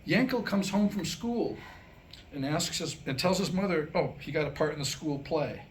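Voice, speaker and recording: A man's voice: on the high side, animated, moderate pace, rough recording. A man telling jokes to live listeners in a conversational, wry stand-up style. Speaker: presenter telling jokes to a small group. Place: live staged reading before listeners. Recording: faint background noise.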